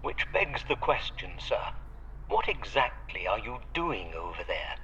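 A man's voice: medium pitch, sing-song, a little slow, pushed and bright, slightly rough, highs cut off, heavily acted. A man playing a loyal, wry butler in an audio drama, formal and sardonic, heard through a radio filter. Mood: dry, wry. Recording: band-limited radio link with noisy hiss.

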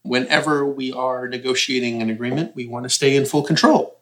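A man's voice: medium pitch, fairly even intonation, brisk, a bit bright. A man hosting a podcast in casual conversation, talking to guests about negotiating. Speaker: podcast host. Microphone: podcast mic.

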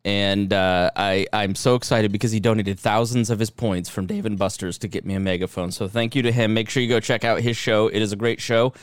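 A man's voice: fairly low pitch, fairly even intonation, brisk, hardly any pauses, clear, resonant tone, dry recording. A middle-aged man hosting a podcast, reading promos and announcements. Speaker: podcast host.

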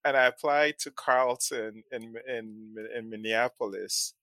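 A man's voice: medium pitch, fairly even intonation, a little slow, crowd noise. A man from Ghana in his forties, a venture investor being interviewed, who often repeats words as he starts a thought and laughs lightly. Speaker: guest, venture capital investor. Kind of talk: casual interview talk.

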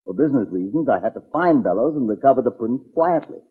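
An actor playing a polite, earnest industrialist client in a 1940s radio detective drama. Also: male voice, medium pitch, lively intonation, fast talker, husky. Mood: explaining. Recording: muffled, tinny 1940s radio recording.

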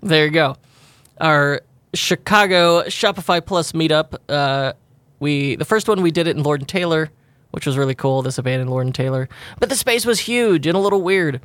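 A man's voice: a little high, normal speed, fairly bright tone, no room sound. A man co-hosting a podcast in casual chat, rambling and laughing often as he corrects himself. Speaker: podcast co-host.

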